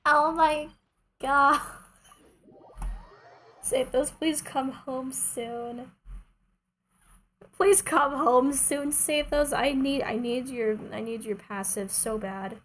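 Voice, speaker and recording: A woman's voice: sits high, fairly even intonation, slow pace, long pauses, fairly bright tone. A woman who speaks English as a second language, chatting casually as she streams a game. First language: not English. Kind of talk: casual livestream gameplay chat. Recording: game music behind the voice. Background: game music.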